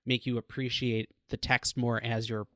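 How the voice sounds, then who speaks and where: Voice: mid-range voice, little pitch movement, normal speed, a crowd in the background. A podcast host who asks supportive follow-up questions in a casual chat.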